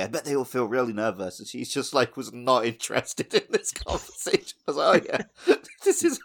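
A man's voice: mid-range voice, fairly bright tone. A man in his thirties co-hosting a gaming podcast, chatting casually and jokingly, often acting out bits of dialogue. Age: 30s. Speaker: podcast co-host.

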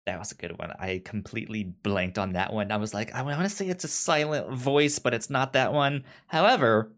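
A man's voice: fairly low pitch, brisk, a bit bright. A man hosting a podcast in casual chat, stuttering as he repeats sounds and syllables. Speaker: podcast host.

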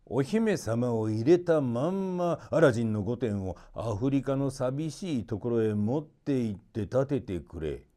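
A man's voice: medium pitch, animated, measured pace, hardly any pauses, dark tone, natural voice. A man voicing a sly old villainous magician in a storybook, theatrical and cunning. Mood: commanding.

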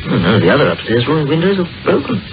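A wry doctor in an old radio drama. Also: male voice, fairly high pitch, very animated, very noisy. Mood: musing. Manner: measured, musing storyteller's delivery. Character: curious, wry male doctor with a macabre imagination. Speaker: Doctor McGregor, an amateur sleuth. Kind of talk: 1940s radio drama acting. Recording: dull old radio broadcast with music.

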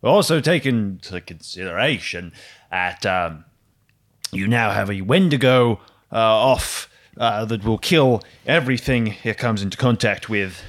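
A man voicing a cold, calculating computer intelligence in a tabletop roleplay, speaking in halting, analytical phrases. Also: fairly low pitch, expressive. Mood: stern, urgent.

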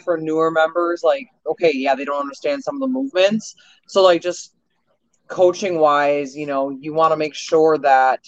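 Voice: medium pitch, no room sound, dull audio. A podcast co-host talking casually in an earnest, rambling way. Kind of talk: casual chat.

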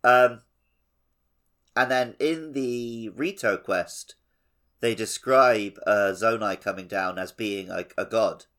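A man's voice: lowish voice, slow pace, a bit bright. A man co-hosting a podcast, explaining things informally in casual talk about a video game. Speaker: podcast co-host.

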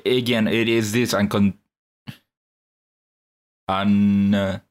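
A man with a low voice talks through a podcast chat as co-host. He is earnest and measured, restarting a phrase now and then as he works out what he means. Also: flat delivery.

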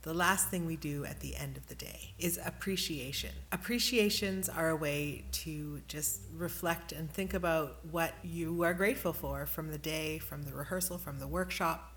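A woman with a fairly low voice explains things as a podcast narrator and workshop leader. A little background noise sits behind her. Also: fairly bright tone.

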